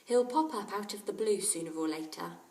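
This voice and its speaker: A woman voicing a brisk, plain-spoken, touchy schoolboy in a storybook. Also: medium pitch, darkish tone, close to an everyday voice. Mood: matter-of-fact. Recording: roomy echo, distant microphone.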